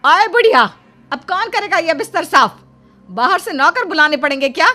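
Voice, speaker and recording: A woman's voice: very high voice, expressive, moderate pace, bright, forceful tone, faint music behind, voice pushed up, exaggerated voice. A woman voicing a spiteful, bossy middle-aged daughter-in-law in a cartoon story, scolding sharply and indignantly. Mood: angry scolding. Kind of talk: Hindi cartoon moral-story acting.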